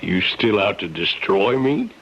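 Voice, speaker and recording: An actor playing a stubborn but decent farmer in a radio drama, blunt and folksy, with a gravelly, husky voice. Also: male voice, on the low side, lively intonation, unhurried, a bit bright, exaggerated voice. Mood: wary, plain. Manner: blunt, folksy, plain speech. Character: plain-spoken, stubborn, decent Connecticut farmer man. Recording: dull lo-fi old radio recording.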